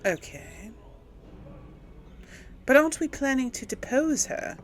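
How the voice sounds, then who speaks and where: A woman hosting a casual video game role play, speaking her character's lines in a thoughtful, unhurried way. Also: sits high, lively intonation, a little hiss or hum. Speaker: let's play host, role-playing the player character.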